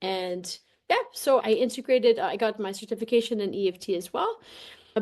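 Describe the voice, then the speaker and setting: A woman speaking as a podcast guest and coach, telling things in a casual, animated, colloquial way. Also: mid-range voice. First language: English. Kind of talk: casual interview talk, personal storytelling.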